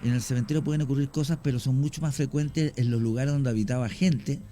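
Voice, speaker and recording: A man's voice: mid-range voice, steady tone, fast, dark tone. A middle-aged man with a Chilean accent, a guest on a radio show, telling his story in a rambling, self-correcting, explanatory way. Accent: Chilean Spanish. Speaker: guest, longtime cemetery worker.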